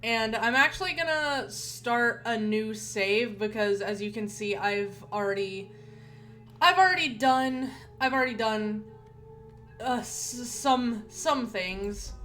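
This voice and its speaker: A woman streaming a game, chatting casually and rambling with many restarts, her voice a little nasal and rough. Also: on the high side, fairly bright tone. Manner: rambling, chatty, self-correcting with frequent repeats. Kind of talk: casual gaming stream chat.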